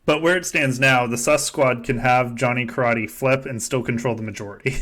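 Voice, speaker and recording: A man's voice: mid-range voice, fairly even intonation, moderate pace, a bit bright. Jokey, sweary man chatting casually. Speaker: podcast host running a fan survivor game. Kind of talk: casual joking chat.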